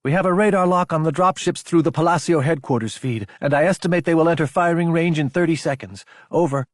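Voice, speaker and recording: Man voicing a crisp female lieutenant, raised pitch. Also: fast talker, dry recording, studio quality, close to an everyday voice. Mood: alert, formal. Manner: formal, clipped military reporting. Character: crisp female lieutenant, mech gunner reporting. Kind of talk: audiobook character dialogue.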